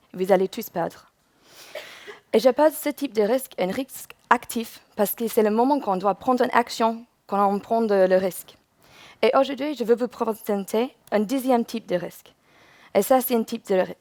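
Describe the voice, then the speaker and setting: A woman's voice: medium pitch, darkish tone, no room sound. A woman from England, a native English speaker with an English accent, giving a prepared conference presentation as a user researcher.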